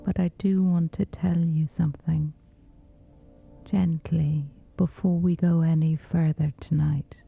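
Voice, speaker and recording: A woman gently guiding a scripted sleep meditation in a soothing, breathy whisper, unhurried throughout. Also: deep voice, level tone, narrow, tinny audio, faint music behind. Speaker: meditation guide.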